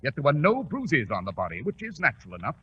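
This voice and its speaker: Commanding English detective, British accent, quick and highly animated, crisp, theatrical, old muffled radio recording. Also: male voice. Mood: excited, triumphant. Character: brisk, commanding, self-assured English gentleman detective. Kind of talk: 1940s radio drama acting. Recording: dull, faintly noisy 1940s radio recording.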